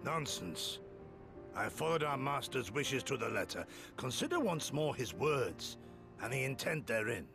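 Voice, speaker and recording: Gruff loyal old warrior, creaky voice, expressive intonation, old-fashioned wording, music behind. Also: male voice, mid-range voice, unhurried. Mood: earnest admonishing. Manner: archaic, forthright speech. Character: gruff older male samurai retainer, loyal and stern.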